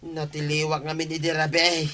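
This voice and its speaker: An actor playing a sheepish petty thief in an Ilocano radio comedy drama, speaking with an Ilocano accent in a hesitant, pleading, excuse-making way. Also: male voice, a little high, monotone, brisk, bright, forceful tone. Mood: apologetic, pleading.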